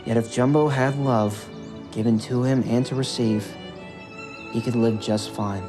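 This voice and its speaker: A man's voice: medium pitch, steady tone, measured pace. A man reading a scripted story as its narrator, with music behind his voice.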